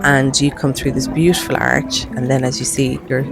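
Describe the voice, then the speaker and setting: Woman, deep-pitched voice, chatty travel vlogger, casual explanatory narration, guitar music behind. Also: level tone, moderate pace, vocal fry. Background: background music with guitar.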